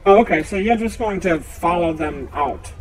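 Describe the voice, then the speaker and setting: A man's voice: high voice, lively intonation, moderate pace, pitched above the performer's own voice, poor audio. A man voicing a hesitant, trusting town official in a tabletop game. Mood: puzzled.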